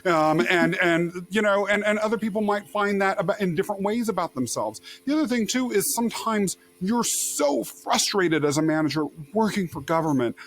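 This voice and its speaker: A man hosting a podcast interview with a voice pitched a little high for a man. He talks expressively and at length, winding through his questions with restarts and asides. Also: lively intonation, moderate pace, hardly any pauses, a bit bright. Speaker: podcast host.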